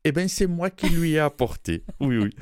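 A man's voice: a little high, animated, moderate pace, darkish tone, dry recording, clean studio sound, close to an everyday voice. A man voicing a brash, mannish woman from Toulouse, a former rugby player, with a southern French accent, bawdy and punning, chuckling at his own jokes in a radio comedy sketch. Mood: cheeky.

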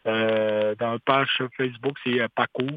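A man, a singer-songwriter guest on a radio interview, talking haltingly with many restarts and fillers. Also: medium pitch, steady tone. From Quebec. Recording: tinny phone line with faint hiss.

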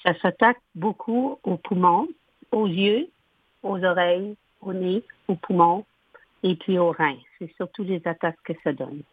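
A woman in her sixties, a native English speaker from Ottawa, speaking French as a guest with a slow, halting manner and frequent throat clearing. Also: fairly low pitch, no room sound. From Ottawa, Canada. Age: 60s. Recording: tinny phone line.

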